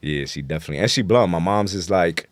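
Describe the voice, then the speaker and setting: New york guy, real deep voice, rapper chatting in an interview, lots of slang, dry sound. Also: quick pace, no room sound. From New York City. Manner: blunt street slang, frequent affirmations. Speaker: interview guest, rap artist. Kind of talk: casual conversational interview, slangy.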